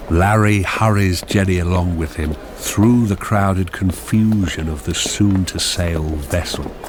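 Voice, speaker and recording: A man narrating a radio drama, reading screenplay directions in a measured, deliberate manner. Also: deep voice, slight background noise.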